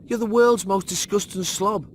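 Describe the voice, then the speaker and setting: A man's voice: high voice, fairly even intonation, moderate pace, natural voice. A man voicing an exasperated, sarcastic young slob in a sci-fi comedy audiobook. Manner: griping, slangy, combative. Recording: noisy background.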